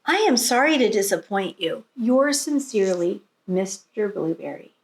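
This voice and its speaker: A woman's voice: medium pitch, expressive, moderate pace, natural voice. Polite but firm male schoolteacher for a storybook, read by a woman; formal, patient, very varied intonation. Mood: insistent.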